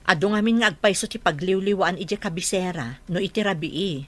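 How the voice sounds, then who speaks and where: A woman's voice: fairly low pitch, brisk, a bit bright, no room sound. A woman playing a stern, reproachful middle-aged mother in an Ilocano radio drama, with an Ilocano accent. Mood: concerned.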